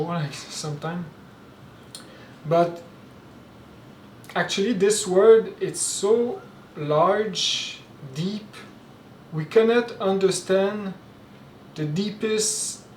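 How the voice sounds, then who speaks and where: A man from Quebec, a native French speaker with a Québécois French accent, gives an informal spoken lecture with halting speech, many fillers and restarts. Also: high voice, a little mellow, slight background noise. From Quebec, Canada. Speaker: spiritual teacher. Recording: roomy echo, mic at a distance, faint ambience.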